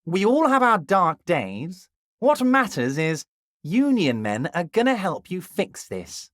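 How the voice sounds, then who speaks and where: Scheming union boss, game character, smooth folksy charm, lively swooping pitch, dry close sound. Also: male voice, slow, dry recording, full character voice. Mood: genial, scheming. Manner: drops to a deep, confiding murmur on names. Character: scheming jovial middle-aged male union boss. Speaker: union leader. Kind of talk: video game voice acting.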